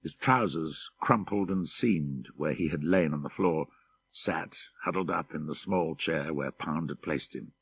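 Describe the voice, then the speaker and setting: Deep, gravelly male horror narrator. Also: measured pace. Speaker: audiobook narrator. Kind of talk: dramatic scripted narration of a horror story.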